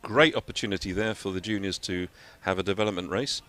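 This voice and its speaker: A man's voice: deep voice, a bit bright. Male triathlon commentator. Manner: measured, informative, with hesitation fillers. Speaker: race commentator. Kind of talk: live sports commentary and interview. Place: outdoors at a triathlon venue.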